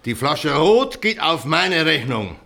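An actor playing an obliging tavern landlord in a radio drama, hospitable and measured. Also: male voice, fairly high pitch, sing-song, creaky, pushed and bright, exaggerated voice.

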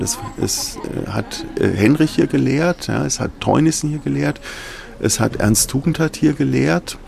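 A man's voice: mid-range voice, creaky, a little mellow, a little hiss or hum. A man, a university professor, recalls his student years in a courtyard outdoors, hesitant and often ending with "ja". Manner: hesitant, repeating words, tag "ja". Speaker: professor interviewee. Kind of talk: casual recollection.

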